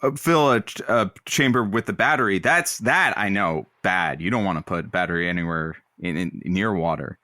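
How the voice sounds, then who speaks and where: A man's voice: low voice, sharp tone, dry recording, studio quality. An actor playing an eager, easily flustered stand-in radio host who bluffs his way along in a comedy audio drama. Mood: flustered bluffing. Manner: flustered, halting, eager to seem capable.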